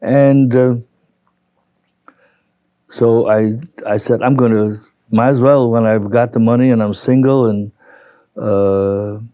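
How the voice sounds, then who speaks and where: An older man with a Brooklyn accent reminiscing as an interviewee, halting and rambling as he recalls. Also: medium pitch, steady tone, dry recording, narrow, tinny audio. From New York, United States. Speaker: interviewee, retiree. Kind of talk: reminiscing in an oral history interview.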